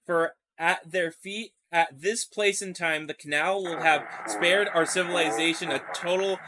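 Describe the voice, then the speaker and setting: Guy doing a solemn canal developer for a horror story, formal speechy delivery, higher voice, noisy background. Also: measured pace, close to an everyday voice. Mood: solemn, grandiose. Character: solemn male canal developer, a weary former diplomat.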